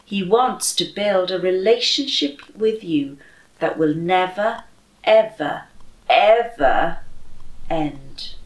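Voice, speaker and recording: A woman in her thirties reading aloud and praying for children with deliberate, expressive phrasing. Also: fairly low pitch. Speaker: children's church story reader. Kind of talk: slow expressive read-aloud and prayer for children. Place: bright room at home by a window, indoors. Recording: roomy echo.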